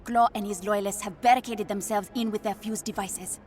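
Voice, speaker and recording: An actress playing a passionate, headstrong young princess and scientist with an African accent, speaking urgently in a dramatic video game. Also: female voice, medium pitch, steady tone, brisk, a little mellow, close to an everyday voice. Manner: earnest, urgent. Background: faint game music.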